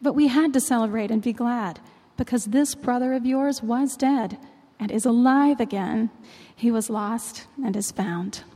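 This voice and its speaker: A woman reading a long Bible passage aloud in church, in a measured, clear way. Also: fairly high pitch, dark tone. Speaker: scripture reader. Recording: long reverb of a large hall.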